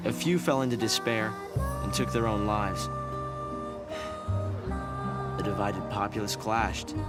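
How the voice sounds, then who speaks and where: An actor playing a thoughtful young research director in a video game, explaining things in a measured, earnest way. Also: male voice, fairly low pitch, lightly acted. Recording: loud orchestral music behind. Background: game music.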